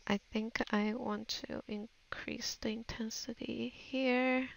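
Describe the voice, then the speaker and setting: Woman doing a laid-back art tutorial, slow n creaky, kinda unsure, half talking to herself. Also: fairly high pitch, level tone, a little hiss or hum, low-quality recording. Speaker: digital artist giving a tutorial. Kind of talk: casual think-aloud art tutorial voice-over.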